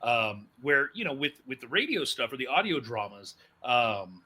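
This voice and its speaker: A man's voice: mid-range voice, big pitch swings, normal speed, pushed and bright, husky. A man hosting a casual interview chat as a voice actor and producer.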